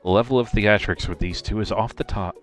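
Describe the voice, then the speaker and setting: Casting: male streamer, lowish voice, playful theatrical game narrator over faint music. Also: fairly quick. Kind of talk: game narration read aloud on livestream.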